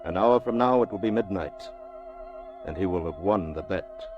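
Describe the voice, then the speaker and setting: A man's voice: sits low, highs cut off, over music, close to an everyday voice. A male actor playing a brooding, desperate wealthy banker in a grave, theatrical old radio drama style. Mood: brooding, bitter. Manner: slow, grave, theatrical delivery.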